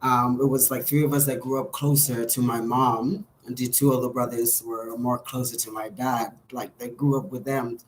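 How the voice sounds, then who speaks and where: A man's voice: medium pitch, flat delivery, moderate pace, hardly any pauses, slight background noise, rough recording. A man in his thirties with a Bahamian accent tells a personal story to camera in a candid, hesitant way. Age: 30s. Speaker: vlogger.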